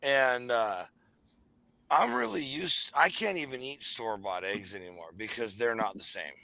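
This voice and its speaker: A man chatting casually with friends on a stream, excitable and rambling, quick to laugh. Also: mid-range voice, gravelly, dry recording, low-quality recording. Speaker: streamer co-host. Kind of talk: casual group chat.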